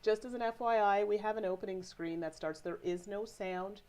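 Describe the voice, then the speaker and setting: A woman co-hosting a casual board game livestream, stuffy and sniffly from a sinus infection, chatty and quick to laugh. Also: medium pitch, steady tone, darkish tone. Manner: friendly, chatty, laughs easily. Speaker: co-host.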